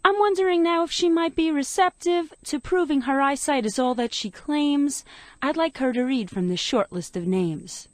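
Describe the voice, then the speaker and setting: A woman's voice: sits high, normal speed, darkish tone, dry recording, close to an everyday voice. A woman playing a small, scornful wren defense lawyer, cross-examining pointedly in a comic rhymed radio play. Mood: pointed, skeptical.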